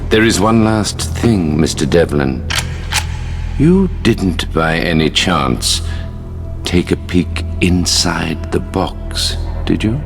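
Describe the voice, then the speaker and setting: Suave, gravelly, deep-voiced spymaster. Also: male voice, lively intonation, music in the background, exaggerated voice. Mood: probing, veiled menace. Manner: formal, measured, silky politeness. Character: suave, scheming, courteous male spymaster. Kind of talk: video game cutscene acting.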